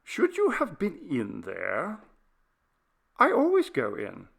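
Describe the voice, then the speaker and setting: A man's voice: fairly high pitch, huge pitch swings, takes it slowly, gravelly, a bit bright, pitched above the performer's own voice, exaggerated voice. A man voicing a grave, polite, eerily composed child in a ghost story reading. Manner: polite, grave, matter-of-fact.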